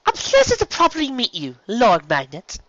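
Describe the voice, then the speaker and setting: A woman's voice: on the high side, wildly swooping pitch, moderate pace, a bit bright, no echo, voice pushed up. A woman voicing a refined young mare, courteous and dramatic, with genteel, formal phrasing in a fan story reading. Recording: slightly dull, lo-fi recording.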